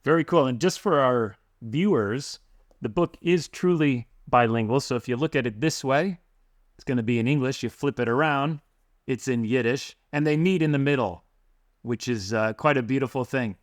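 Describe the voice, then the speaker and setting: Male podcast co-host, even mid pitch, dry close sound. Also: moderate pace, no room sound. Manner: reads the intro crisply, then chats with fillers. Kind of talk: scripted intro, then casual chat.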